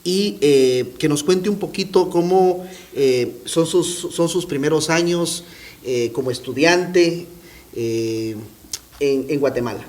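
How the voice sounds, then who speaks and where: A man's voice: fairly high pitch, slow, darkish tone. A man hosting a TV interview, asking formal, polite questions with frequent hesitations. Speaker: TV interviewer, host.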